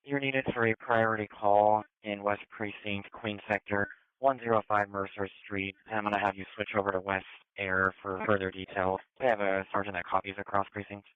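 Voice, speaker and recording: A man's voice: lowish voice, little pitch movement, normal speed, hardly any pauses, clean tone, no echo. A man speaking in procedural calls over a narrow-band police radio. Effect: police radio transmission.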